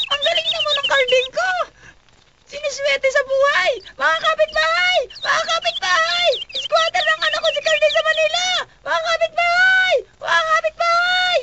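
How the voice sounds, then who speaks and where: A woman playing a boastful, excitable provincial mother, shrilly calling out in a broad radio comedy. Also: very high-pitched, normal speed, muffled recording, very noisy. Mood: gleeful boasting. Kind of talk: broad comic radio drama.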